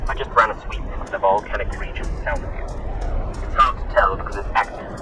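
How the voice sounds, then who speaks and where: A man playing a dutiful starship operator in a video game, reporting in a businesslike, measured way. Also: fairly low pitch, fairly even intonation, lightly acted. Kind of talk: scripted game dialogue. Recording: heavy noise, radio-like static.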